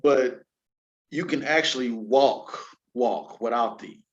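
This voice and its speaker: A man's voice: mid-range voice, slow, dull audio. A man hosting a casual entertainment news chat, talking in a slangy, colloquial, joking way. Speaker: talk show host.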